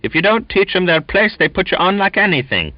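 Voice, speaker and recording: A man voicing a bullying, quarrelsome male sailor who snarls and shouts insults. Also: sits high, sing-song, brisk, no room sound, voice pushed up. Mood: snarling. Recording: dull lo-fi dry recording.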